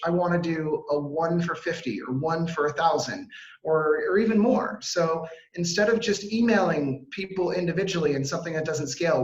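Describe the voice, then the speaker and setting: A man in his thirties from Washington, D.C., with an American accent, giving an energetic webinar talk full of fillers and restarts. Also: a little high, echoey room, rough recording. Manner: upbeat, fast, filler-heavy, self-interrupting. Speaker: webinar presenter. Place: home or office on a video call. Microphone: video call mic.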